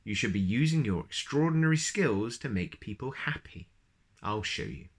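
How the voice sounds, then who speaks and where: A man voicing a cheerful, clever little storybook man with a chirpy, bright delivery. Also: medium pitch, animated, normal speed, slightly rough. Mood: calm.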